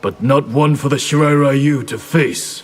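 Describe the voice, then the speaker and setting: An actor playing a solemn, guarded ninja mentor in a video game. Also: male voice, medium pitch, steady tone, unhurried, natural voice.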